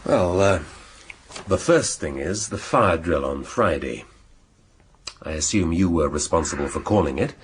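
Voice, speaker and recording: Deep-voiced, brusque police chief inspector. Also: male voice, animated, a little slow, a little hiss or hum. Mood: sardonic. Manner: blunt, probing, needling. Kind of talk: radio drama acting.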